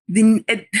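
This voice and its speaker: A woman's voice: medium pitch, fairly bright tone, breathy edge. Reflective Trinidadian woman. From Trinidad and Tobago. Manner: reflective, pausing with sighs and laughs. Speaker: guest memoirist. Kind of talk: candid interview conversation.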